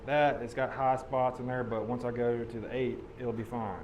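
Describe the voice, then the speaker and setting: A man teaches forging in a hoarse voice that is half gone. His talk is folksy and patient as he works. Loud ringing hammer blows clang behind him. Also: medium pitch, flat delivery, normal speed, heavy background noise. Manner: folksy, explains step by step while hammering. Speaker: blacksmithing demonstrator. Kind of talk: live teaching demonstration. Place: blacksmith forge demonstration.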